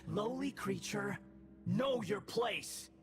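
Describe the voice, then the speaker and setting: A man playing an arrogant young would-be god in a video game, declaiming with grandiose, slow contempt. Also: high voice, animated, vocal fry, full character voice. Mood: cold contempt. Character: arrogant, theatrical young male would-be god, scornful villain. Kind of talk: dramatic video game voice acting. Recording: loud game music underneath. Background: game music.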